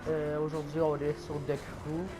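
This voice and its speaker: A man's voice: fairly high pitch, completely flat delivery, normal speed, over music. A man with a Québécois accent, sick with a cold and coughing, giving hesitant, casual commentary over a video game. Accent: Québécois French. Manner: hesitant, many fillers and restarts. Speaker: gaming YouTuber.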